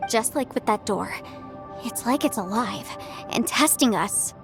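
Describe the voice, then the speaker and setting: A woman's voice: a little high, expressive, measured pace. A woman voicing an earnest, caring young female companion in a video game, speaking articulately and with urgency. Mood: serious, analytical. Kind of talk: English game dub voice acting. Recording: game music behind the voice.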